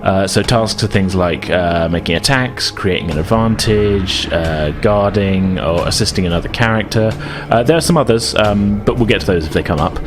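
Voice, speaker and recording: A man with a low voice runs a tabletop game as its game master. He explains and narrates casually and haltingly, with music clearly playing underneath. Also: lively intonation.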